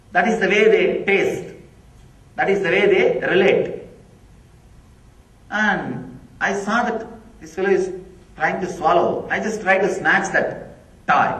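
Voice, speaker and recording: A man's voice: high voice, fairly bright tone. A man, a Tamil speaker, giving a spiritual discourse, emphatic and repeating key phrases. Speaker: spiritual teacher. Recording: echoey room, from far off.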